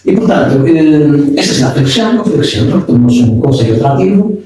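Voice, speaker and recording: A man's voice: a little high, expressive, brisk, mellow, slight background noise. A man, reflecting as an interview guest and often restarting his sentences. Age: 70s. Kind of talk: casual interview talk. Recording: echoey room, distant mic.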